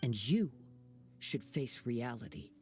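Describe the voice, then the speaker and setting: Mellow, composed young samurai. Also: male voice, mid-range voice, lively intonation, faint music behind. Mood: reproving. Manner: measured, formal, philosophical. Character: calm, honourable young wandering samurai, gentle and resolute. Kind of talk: video game voice acting.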